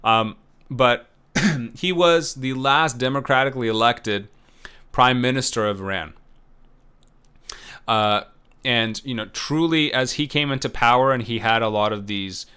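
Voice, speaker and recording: A man's voice: medium pitch, a little slow, pushed and bright, clean tone. A man giving a recorded lecture in a loose, conversational way, full of fillers, restarts and self-corrections. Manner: unsure about names, self-correcting. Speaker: professor.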